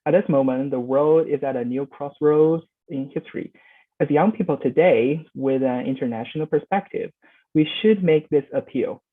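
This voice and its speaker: A young man giving a prepared presentation, halting with frequent fillers and restarts. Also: a little high. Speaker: youth presenter. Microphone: video call. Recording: phone-like video call audio.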